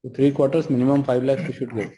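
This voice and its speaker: A man with an Indian accent answering as a company executive on an earnings call, speaking haltingly and repeating phrases. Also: medium pitch, steady tone, background noise. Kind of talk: spontaneous business answers on a conference call.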